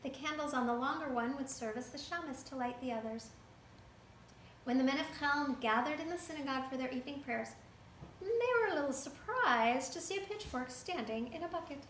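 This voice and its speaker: A woman reading a folktale aloud to a child, lively and stopping to ask the listener questions. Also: high voice, brisk, reverberant, a little hiss or hum, rough recording. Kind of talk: reading a picture book to a child.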